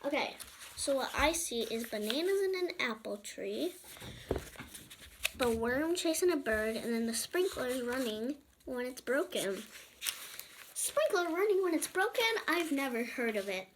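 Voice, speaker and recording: Young female child reader, high voice, chatty picture-book read-aloud with asides, noisy home recording. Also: lively intonation, unhurried. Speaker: storybook reader and channel presenter.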